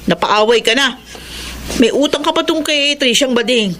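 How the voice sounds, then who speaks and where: A woman's voice: high voice, moderate pace, natural voice. An actress playing a harsh, scolding, volatile mother in a Filipino radio melodrama, exclamatory and nagging, with a Filipino accent. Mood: exasperated scolding. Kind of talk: melodramatic radio drama acting. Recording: noisy radio drama ambience.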